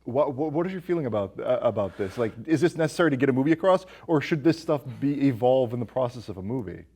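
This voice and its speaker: A man's voice: medium pitch, expressive, fast talker, warm tone, breathy edge. A man hosting a podcast, chatting casually about movies with jokey, teasing banter. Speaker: podcast host.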